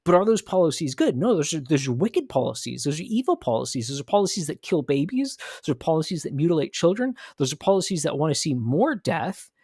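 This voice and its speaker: A man's voice: fairly high pitch, very animated, quick pace, hardly any pauses, darkish tone, husky, no room sound, clean studio sound. Emphatic man hosting a commentary podcast. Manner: emphatic, rhetorical questions, repeats phrases. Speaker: podcast host. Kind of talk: opinionated commentary.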